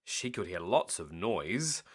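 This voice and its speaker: A man reading a picture book aloud as a bedtime story, slow and expressive. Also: breathy edge. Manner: slow, expressive reading with lively swings of pitch.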